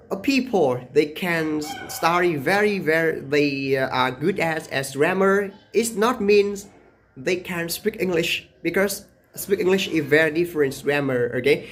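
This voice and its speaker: A young Vietnamese man with a slightly higher-pitched voice chats to camera in English, his second language. He overpronounces every word and keeps checking in with "okay?" between fillers. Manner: deliberately exaggerated, emphatic pronunciation; frequent "okay?" tags and "uh" fillers. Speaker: English-learning video creator. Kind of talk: casual talk to camera.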